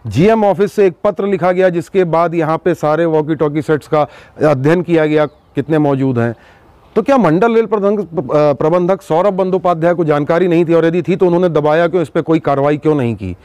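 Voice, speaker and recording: Higher-voiced man presenting a news exposé. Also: darkish tone, no room sound. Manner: emphatic, with dramatic pauses and rhetorical questions. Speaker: host of an investigative news show. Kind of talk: news exposé monologue to viewers.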